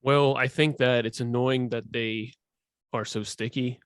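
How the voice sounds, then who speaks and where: A man's voice: mid-range voice, steady tone, a little slow, no echo, studio quality. Blunt, opinionated male podcast co-host. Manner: blunt and opinionated, clears throat often. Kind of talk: casual podcast chat.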